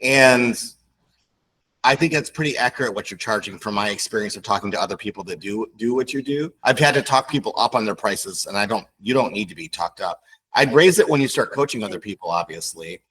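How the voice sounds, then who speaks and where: A man's voice: on the low side, animated, brisk, a bit bright, slightly rough, rough recording. Effusive, rambling man in his fifties. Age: 50s. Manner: rambling, effusive, many fillers like you know what I mean. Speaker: podcast host. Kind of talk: casual interview chat.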